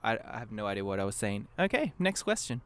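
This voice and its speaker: A man's voice: lowish voice, sing-song, fast talker. A man speaking as a guest in a casual interview, rambling in a self-deprecating way and breaking off mid-thought. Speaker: interview guest, fan abridged-series creator. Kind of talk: casual podcast interview chat.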